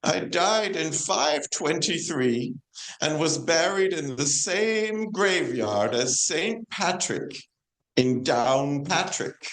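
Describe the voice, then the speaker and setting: Woman acting a slow, stately female saint. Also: low-pitched, expressive, poor audio. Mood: proud, solemn. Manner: measured, declamatory storytelling. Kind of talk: amateur stage play performance.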